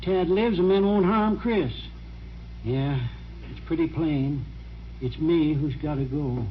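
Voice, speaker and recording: A man's voice: on the high side, slow pace. An actor playing a plain-spoken, determined small-town man with a folksy, persistent manner in an old-time radio drama. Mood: resolute. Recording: muffled, slightly noisy vintage radio recording.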